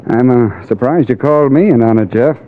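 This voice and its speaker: A man's voice: medium pitch, a little fast, a little hiss or hum, natural voice. Man acting sly radio partner, tinny old recording. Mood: eager, probing. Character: breezy, sly, money-hungry adult salvage diver partner. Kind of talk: 1950s radio drama acting.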